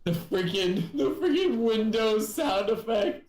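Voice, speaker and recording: A young man chatting casually as a livestream guest, reacting in an excitable, exclamatory way. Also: sits high, slow pace, reverberant.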